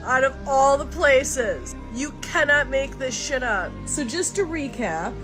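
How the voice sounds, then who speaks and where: A woman's voice: high voice, expressive, measured pace. A woman from the United States ranting in a social media video, outraged, sarcastic and profane. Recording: clip with loud music underneath.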